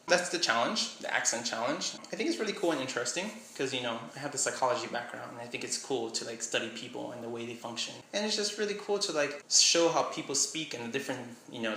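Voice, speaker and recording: Young male vlogger, slight Miami accent, bright tone, rambling, echoey room. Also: medium pitch, slight background noise. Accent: slight Miami English. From Miami, USA. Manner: rambling, trails off with "I don't know". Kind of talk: casual vlog chat, short read-aloud passage.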